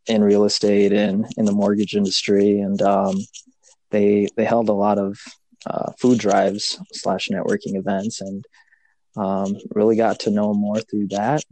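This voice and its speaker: Interview guest: man from Kenya, lowish voice, unhurried and easygoing, dry close recording. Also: darkish tone, dry recording, poor audio. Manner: easygoing, pausing to search for words. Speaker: podcast guest. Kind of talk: casual interview chat.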